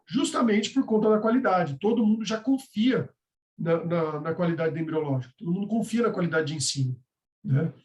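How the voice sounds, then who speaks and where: Instructor, male, high-pitched, conversational explainer, echoey room. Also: darkish tone. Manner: conversational, explaining step by step with frequent "tá?" checks. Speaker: course instructor. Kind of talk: live online class with course announcements.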